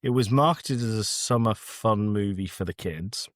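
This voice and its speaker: A man's voice: fairly low pitch, normal speed, no echo. A man co-hosting a casual film review chat with dry, sardonic humour. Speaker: podcast co-host.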